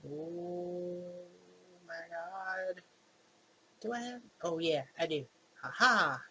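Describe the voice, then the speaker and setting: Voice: medium pitch, drawn-out words, bright, forceful tone, low-quality recording. A gaming video host reading a visual novel aloud, exclaiming and commenting on it to themselves. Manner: exclaims and comments to self while playing. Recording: clear background noise.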